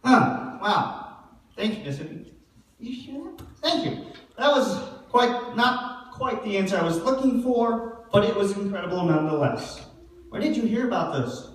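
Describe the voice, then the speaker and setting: A man performs a talk-show doctor puppet with a high-pitched voice. He moderates and addresses the crowd like a presenter. The recording is distant, in a heavily echoing hall. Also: animated, measured pace. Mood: surprised. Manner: presenterly, addresses audience and guests. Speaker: host. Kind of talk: church puppet show acting as chatty host. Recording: very echoey hall, distant mic, faint noise.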